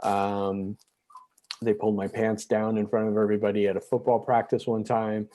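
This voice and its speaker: Mellow-voiced man in his forties. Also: lowish voice, little pitch movement. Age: 40s. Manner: halting, frequent restarts and fillers. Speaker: interview guest. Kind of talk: candid personal storytelling.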